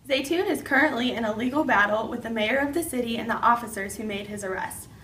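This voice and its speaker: A distant recording with faint noise carries a female news anchor, acted by a woman. Her voice is fairly high, and she presents from a script. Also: fast talker, a bit bright, lightly acted. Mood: presenting. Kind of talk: amateur mock newscast, scripted.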